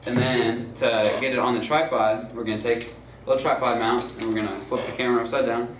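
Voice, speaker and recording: A man's voice: mid-range voice, flat delivery, a little fast. Young man, casual tutorial, informal with fillers, distant mic, echoey room. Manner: loose and informal, many fillers and "gonna go ahead". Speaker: student crew tutorial presenter. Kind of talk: casual how-to demonstration. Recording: roomy, far from mic, noisy.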